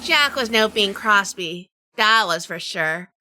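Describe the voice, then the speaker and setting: A woman's voice: mid-range voice, lively intonation, slow, noisy background. A woman playing a wry, world-weary detective in a noir audio drama, with a dry, sardonic delivery. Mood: wry, fond. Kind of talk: hardboiled noir radio drama narration and dialogue.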